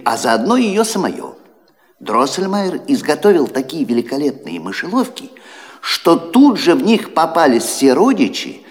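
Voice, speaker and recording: A kindly old godfather in a fairy-tale audio play. His intonation rises and falls dramatically as he narrates with teasing, grumbling theatricality. The voice rings in a long hall reverb. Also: mid-range voice.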